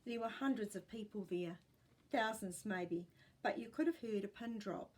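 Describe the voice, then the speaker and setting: Husky New Zealand woman reading a story. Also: mid-range voice, normal speed. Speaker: storyteller reading a children's book. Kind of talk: expressive storybook narration.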